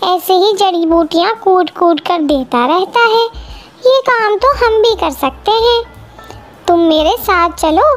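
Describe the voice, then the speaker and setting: A woman's voice: extremely high pitch, full character voice. A woman voicing a lazy, scheming crow wife who nags and taunts in a children's cartoon. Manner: bossy, taunting. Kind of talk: Hindi children's cartoon acting.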